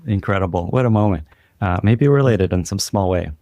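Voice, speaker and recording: Gravelly-voiced man hosting an interview. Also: deep voice, a little fast, mellow, no room sound. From Ukraine. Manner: conversational, easy laughs, thinking aloud. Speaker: podcast host. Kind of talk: casual interview chat.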